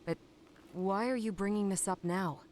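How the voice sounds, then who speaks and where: A woman's voice: mid-range voice, moderate pace, a little mellow, slight background noise, close to an everyday voice. A woman playing a serious, questioning monster hunter in a video game cutscene. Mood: serious, concerned.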